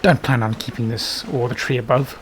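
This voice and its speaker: A man's voice: mid-range voice, steady tone, a little fast, a little hiss or hum. A man giving casual let's play commentary, muttering to himself in short remarks. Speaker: gaming video creator. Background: faint game music.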